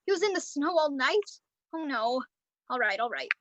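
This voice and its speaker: A woman playing a worried, brisk mother in a live radio drama, speaking softly. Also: sits high, very animated, dull audio, background noise. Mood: groggy, worried.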